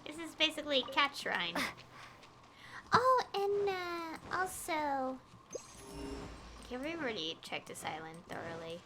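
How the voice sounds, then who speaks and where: A woman's voice: sits high, big pitch swings, unhurried, pauses often, noisy background. A woman game streamer chatting casually on a livestream in a rambling, conversational way. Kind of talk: casual livestream chat over gameplay.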